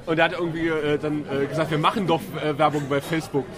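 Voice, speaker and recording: Fast-talking man over pub crowd. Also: fairly high pitch, little pitch movement. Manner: brisk, moderating, dry remarks. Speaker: podcast co-host. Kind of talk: casual live podcast chat.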